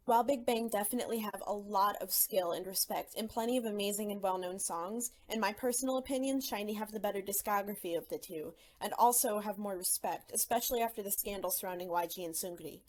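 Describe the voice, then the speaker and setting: A woman's voice: medium pitch, slight background noise. Opinionated young woman, slangy commentary. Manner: opinionated, casual slangy phrasing. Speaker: YouTube commentator.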